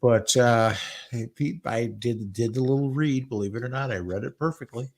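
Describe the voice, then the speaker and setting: A man's voice: mid-range voice, normal speed. A middle-aged man co-hosting a casual radio show, rambling and self-deprecating with many restarts. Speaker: radio station owner and co-host.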